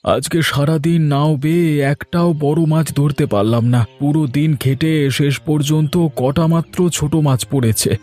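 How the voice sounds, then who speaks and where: A man acts a poor cartoon fisherman, downhearted and plaintive. His voice is fairly high for a man and has music behind it. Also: steady tone, unhurried, warm tone, lightly acted. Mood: dejected. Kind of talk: cartoon dialogue acting.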